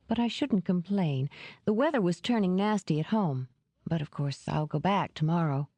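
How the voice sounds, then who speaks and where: A woman's voice: fairly low pitch, expressive, moderate pace, dark tone, no echo. A woman voicing a fragile young woman in an audiobook, anxious, polite and faltering as she speaks.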